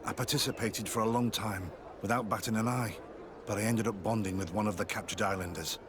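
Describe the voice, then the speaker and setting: A man's voice: fairly low pitch, fairly even intonation, a little fast, background noise, close to an everyday voice. A man playing a guilt-ridden, secretive army sergeant turned informant in a video game, guarded, earnest and confiding. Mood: remorseful. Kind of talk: video game cutscene acting.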